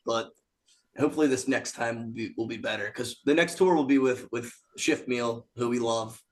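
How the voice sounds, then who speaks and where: A raspy-voiced man from a band, joking and swearing in casual podcast chat, often acting out what people said. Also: mid-range voice, moderate pace. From Philadelphia area. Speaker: band member guest.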